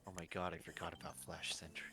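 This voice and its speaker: Low-voiced man narrating a story. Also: completely flat delivery, quick pace, gravelly, pushed and bright, husky. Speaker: narrator and host. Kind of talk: fan-fiction story narration. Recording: faint music behind. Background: faint music.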